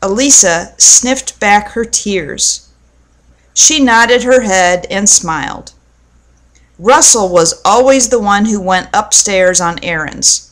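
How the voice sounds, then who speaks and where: A woman reads a children's book aloud as a storyteller. Her pace is very slow and deliberate, with a mid-range pitch, and she explains as she goes for young listeners. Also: a bit bright.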